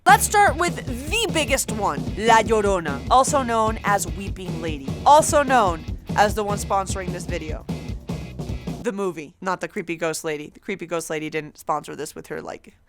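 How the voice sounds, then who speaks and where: A woman's voice: medium pitch, normal speed, music in the background. A woman hosting, telling comedic stories in a casual, dramatic, jokey ranting style. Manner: animated, dramatic, jokey delivery. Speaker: host. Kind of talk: comedic storytelling, casual rant.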